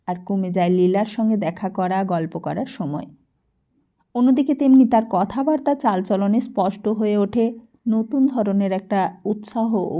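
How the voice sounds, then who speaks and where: A woman's voice: mid-range voice, dark tone, dull audio. A woman reading a literary short story aloud as scripted audiobook narration.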